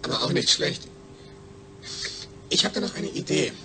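A man's voice: fairly high pitch, sing-song, moderate pace, creaky, noisy background. A man playing an eager, needy astronaut's brain speaking emphatically through a speech synthesizer in a radio drama. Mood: anxious, pleading. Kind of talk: German radio drama acting.

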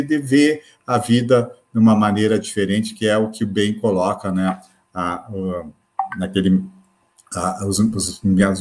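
Hesitant middle-aged man, low voice. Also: darkish tone. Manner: hesitant, many restarts and filler words. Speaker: university professor, panel guest. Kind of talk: closing remarks on a video call panel. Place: home study with bookshelves. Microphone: laptop webcam on video call.

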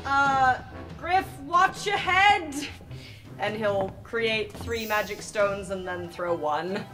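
A woman's voice: medium pitch, lively intonation, slow. A woman chatting casually as a player in an improvised tabletop game, wry and informal. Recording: music behind the voice.

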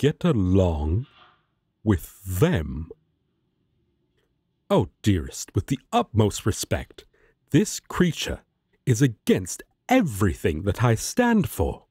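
A man's voice: on the low side, wildly swooping pitch, slow pace, pauses often, dark tone, slightly rough, no room sound, studio quality, exaggerated voice. A man playing a haughty, protective angel in an audio drama, speaking in a formal, wary, disdainful manner. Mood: indignant, haughty. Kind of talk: roleplay audio drama acting.

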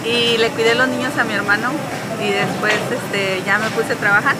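A woman's voice: fairly high pitch, fairly even intonation, moderate pace, heavy background noise. A woman in her forties from Mexico with a northern Mexican accent, chatting relaxedly in a supermarket interview. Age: 40s. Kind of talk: casual street interview. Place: large supermarket indoors.

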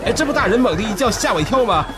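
High-pitched, eager young guard. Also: male voice, racing, a crowd in the background. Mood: excited. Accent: Northeastern Chinese. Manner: brash, colloquial, excitable. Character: eager, naive young guard, the magistrate's sidekick. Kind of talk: comic radio play acting. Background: music behind the voice.

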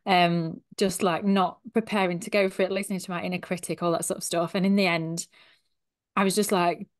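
A chatty Yorkshire woman in her thirties, talking with her hands as she tells her story. Her voice sits a touch low. She sounds like she is on a video call from a small home office, wearing headphones. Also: little pitch movement, a little fast. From Sheffield, South Yorkshire, England. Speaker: guest, human design coach. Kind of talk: casual interview chat.

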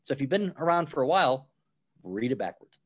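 Man explaining restaurant management calmly. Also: mid-range voice, brisk. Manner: explains with worked examples, acts out quoted replies. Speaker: author and restaurant guest. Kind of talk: conversational podcast interview.

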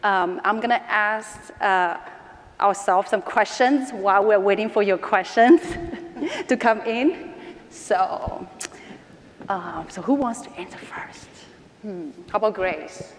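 A woman's voice: medium pitch, expressive, slow pace, a little hiss or hum. A woman moderating a panel, speaking informally and unscripted to the other speakers. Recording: reverberant hall.

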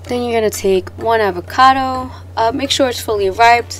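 A woman's voice: fairly high pitch, normal speed, a bit bright. A young woman who sounds stuffed up from a cold chats her way through a recipe in her home kitchen. She talks loosely, full of slang and filler words. Faint music plays under her voice. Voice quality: chatty, laid-back, sprinkles in slang and fillers. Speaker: cooking vlogger. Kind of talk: casual cooking tutorial.

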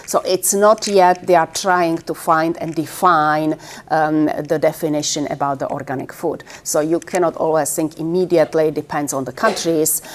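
A middle-aged woman from the Czech Republic with a Czech accent, giving a university classroom lecture in a halting way with many fillers. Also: deep voice. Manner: halting, many fillers, gestures while talking.

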